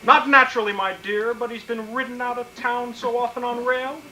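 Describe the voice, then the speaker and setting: A man's voice: very high voice, flat delivery, a little fast, bright, forceful tone, heavily acted, low-quality recording. An actor playing a pompous, wily con man in blustering, grandiloquent 1940s radio comedy style. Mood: blustering, evasive. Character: pompous wily male swindler, flowery and evasive.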